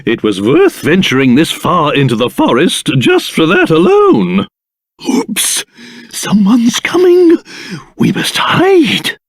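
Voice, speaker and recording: A man voicing a pompous, fussy, excitable little old king in a video game, speaking theatrically with old-fashioned flair. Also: sits high, slow pace, no echo, heavily acted. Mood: indignant, fussy. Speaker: voice actor.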